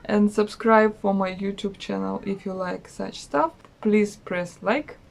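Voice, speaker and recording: A woman in her thirties with a Russian accent, chatting casually about a trip in a travel vlog with many pauses and fillers. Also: mid-range voice, little pitch movement, creaky. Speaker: travel vlogger.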